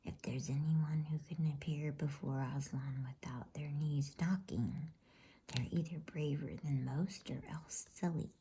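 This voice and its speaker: Woman as homely female beaver, storybook, breathy whisper, very husky, unhurried, plain-spoken. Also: low voice, fairly even intonation, dark tone, exaggerated voice. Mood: matter-of-fact. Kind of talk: whispered bedtime storybook reading.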